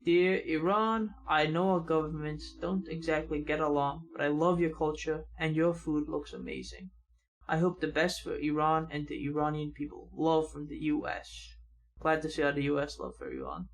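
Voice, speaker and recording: A young man hosting a reaction channel, casually reading viewer comments aloud and adding quick remarks of his own. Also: on the high side. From United States.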